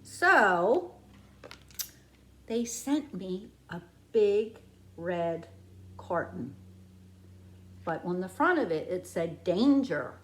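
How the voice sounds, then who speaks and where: A woman reading a picture book aloud to young children like a preschool teacher, with expressive, exaggerated wonder. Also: lowish voice, extremely slow, pauses often.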